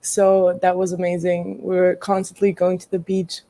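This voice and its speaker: A woman's voice: fairly low pitch, completely flat delivery, normal speed, dark tone. A young woman from Greece, a college volleyball player answering interview questions casually, hesitant with many ums and frequent laughs. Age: young adult.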